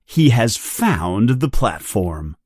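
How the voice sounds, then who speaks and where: A narrator reading a scripted story aloud for learners in a deliberate, clearly enunciated manner. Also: mid-range voice, very animated, darkish tone, no room sound, studio quality. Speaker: audiobook narrator. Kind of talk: scripted read-along storytelling.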